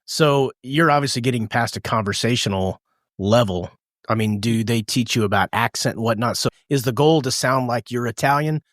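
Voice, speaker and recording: A man hosting a podcast, asking questions in a hesitant way that trails off softly. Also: medium pitch, normal speed, no room sound, clean studio sound. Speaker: podcast host. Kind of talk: interview questions.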